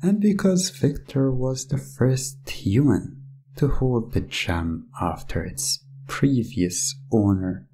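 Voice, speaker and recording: A man playing a smug, menacing gem owner in a hypnosis ASMR roleplay, speaking in a slow, theatrical, condescending way with a soft, breathy voice. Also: mid-range voice, lively intonation, slight background noise. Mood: hypnotic, taunting. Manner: slow, deliberate, theatrical, condescending, with drawn-out words.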